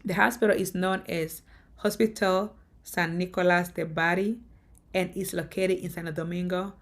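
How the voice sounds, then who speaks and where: A woman from Africa speaking in a fairly low register. She addresses her viewers in a relaxed vlog style and presses her points home by repeating them with conviction. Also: normal speed. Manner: emphatic, repeats points insistently. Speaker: vlogger. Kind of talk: casual talk to camera.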